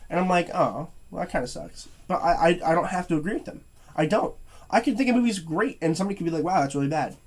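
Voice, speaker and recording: A man's voice: a little high, animated. Tired, stuffy young man who stutters. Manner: stuttering, halting, many fillers and restarts. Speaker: movie reviewer. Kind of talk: casual to-camera rant.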